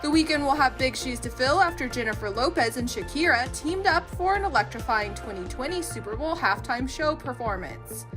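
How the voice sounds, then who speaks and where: A woman reading scripted entertainment news narration. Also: high-pitched.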